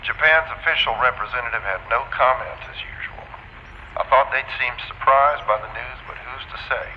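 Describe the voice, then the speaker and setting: A man's voice: mid-range voice, fairly quick, dull audio. A man acting in an audio drama as a plain-spoken astronaut, talking frankly and folksily over a radio link. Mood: businesslike. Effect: radio transmission filter. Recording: band-limited radio, reverberant, faint noise.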